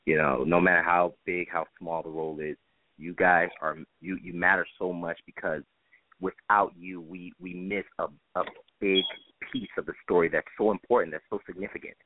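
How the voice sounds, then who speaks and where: A man's voice: low-pitched, fairly even intonation, normal speed. A man being interviewed as a stage director, speaking conversationally and earnestly, repeating phrases for emphasis. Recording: tinny band-limited phone line, roomy echo.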